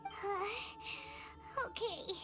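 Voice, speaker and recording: Squeaky excitable little fairy companion. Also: female voice, very slow, over loud music, full character voice. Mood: whiny, tired. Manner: speaks of herself in third person, whiny, exclamatory. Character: small excitable childlike fairy companion, cheerful and dramatic. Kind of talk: video game voice acting.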